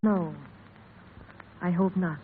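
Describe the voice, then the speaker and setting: An actress playing a proud, world-weary café woman in a measured, formal, restrained 1930s radio drama style. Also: lowish voice, fairly even intonation. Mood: reserved, wistful. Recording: noisy, tinny 1930s radio broadcast.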